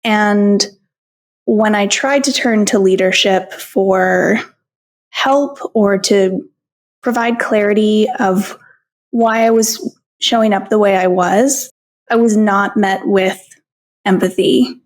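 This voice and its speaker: A woman's voice: medium pitch, slow pace. A woman speaking as a podcast guest, open and thoughtful, with frequent ums. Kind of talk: casual interview conversation.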